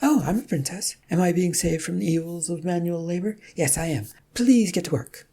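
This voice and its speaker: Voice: medium pitch, expressive, moderate pace, dark tone, breathy edge, pitched above the performer's own voice. A storybook narrator voicing a bossy, combative princess with an imperious, theatrical manner. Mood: haughty, demanding. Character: bossy, troubled, combative princess in torn dress.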